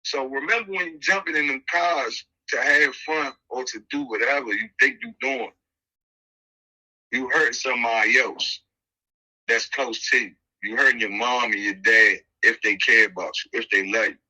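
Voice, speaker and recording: A man's voice: medium pitch, normal speed, sharp tone, poor audio. A middle-aged American man telling a personal story on a podcast in casual, slangy street talk, emphatic and acting out the dialogue as he goes.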